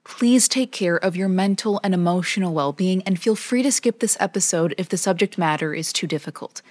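A woman hosting a podcast, narrating a scripted documentary story in a measured, even way. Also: fairly low pitch, no echo. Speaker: podcast host.

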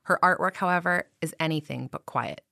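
Low-voiced woman reporter narrating. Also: brisk, creaky, pushed and bright, no room sound, studio quality. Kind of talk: scripted narration.

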